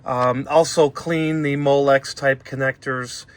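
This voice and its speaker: A man casually talking through a tape machine repair at his workbench, plain and unhurried, with fillers. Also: mid-range voice, steady tone, clear, resonant tone. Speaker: repair vlogger. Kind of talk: casual workshop narration.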